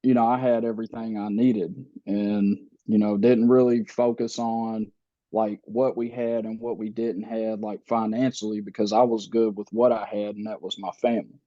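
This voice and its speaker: A man in his thirties, born in the Philippines and raised in the US, telling his life story slowly with many pauses and fillers. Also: on the low side, level tone, mellow, dry recording, lo-fi sound. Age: 30s. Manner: slow, halting, many fillers and restarts. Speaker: podcast guest.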